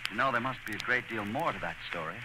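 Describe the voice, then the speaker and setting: A male radio host from the 1930s speaks formally and theatrically. His pitch swings widely, and the old broadcast is clearly noisy. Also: expressive, fast. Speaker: radio show host and narrator. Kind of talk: 1930s radio announcing, dramatic scripted narration.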